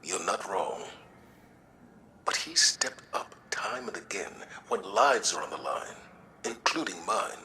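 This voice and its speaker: An actor playing a mature, earnest military leader in a game cutscene, speaking in a measured, persuasive way. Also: male voice, sits low, big pitch swings, slight background noise, exaggerated voice, poor audio. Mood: earnest persuasion. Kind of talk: video game cutscene acting. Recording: loud music behind voice.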